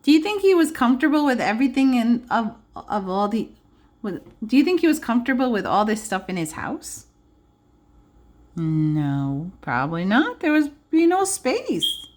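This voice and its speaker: A woman's voice: on the high side, big pitch swings, normal speed, darkish tone. A woman preschool teacher reading aloud to young children, adding simple explanations and checking in with questions. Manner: simple child-directed phrasing.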